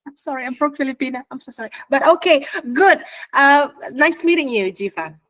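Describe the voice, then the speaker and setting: A woman's voice: high-pitched, brisk, low-quality recording. A woman with an Indonesian accent teaching an English class online, leading a role-play and mixing Indonesian with English. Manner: lively, switches between Indonesian and English.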